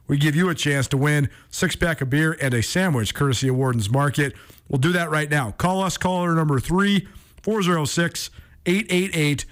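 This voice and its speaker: A man hosting a sports radio show in a casual, conversational way, recorded in a studio. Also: a little high. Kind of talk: casual radio talk and sponsor reads.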